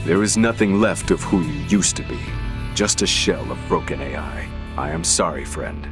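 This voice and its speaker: A grave game hero, acted by a man, deep in pitch. He is calm and measured, solemn throughout. Music sits behind the voice. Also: lightly acted. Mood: solemn regret. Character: grave, calm male hero who senses minds. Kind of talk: video game cutscene acting.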